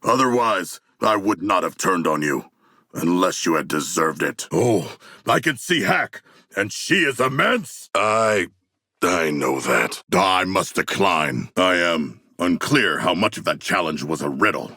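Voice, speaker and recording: Gravelly, formal shark warrior. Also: male voice, low voice, sing-song, a little slow, sharp tone, no echo, clean studio sound, heavily acted. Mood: earnest. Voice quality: gravelly, rough. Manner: formal, stilted, precise diction with big words. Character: huge eloquent humanoid shark warrior, earnest and fierce. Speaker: video game character. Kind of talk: game combat barks and dialogue.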